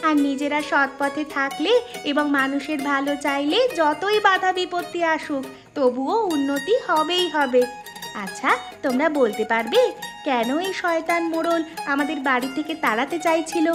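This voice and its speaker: Lady playing a young village wife in a cartoon, high voice, earnest and emphatic, music going behind. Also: moderate pace, lightly acted. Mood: instructive, friendly. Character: earnest young village daughter-in-law, forgiving and resolute. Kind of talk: Bengali moral cartoon dialogue.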